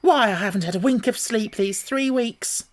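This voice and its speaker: A man voicing a fussy mother pigeon who shrieks and speaks with deep contempt. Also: normal speed, natural voice. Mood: scolding. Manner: shrill, contemptuous, scolding. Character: fussy shrieking female pigeon guarding nest.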